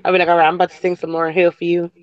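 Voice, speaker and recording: A woman's voice: lowish voice, little pitch movement, rapid-fire, muffled recording. A young woman chatting casually, laughing easily and a bit self-conscious. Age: young adult. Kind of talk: casual chat.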